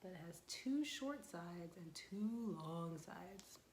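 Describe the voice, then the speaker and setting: A young woman librarian leading a storytime for young children, asking questions in a sing-song voice and dropping to soft whispers for suspense. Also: fairly low pitch, lively intonation, slow, slight background noise.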